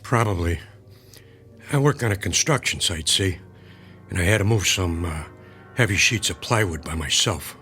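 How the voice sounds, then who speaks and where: A man's voice: low-pitched, animated, normal speed, pauses often, sharp tone, soft background music. An actor playing a male construction worker patient who gives short, matter-of-fact answers in a radio drama.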